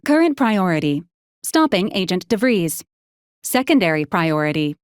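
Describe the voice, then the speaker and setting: An actress plays an unflappable female robot assistant from a video game, with a mid-pitched voice. She is formal and courteous, delivering clipped, deadpan advisories in a very dry, close recording. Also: no room sound, close to an everyday voice. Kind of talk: scripted video game character lines.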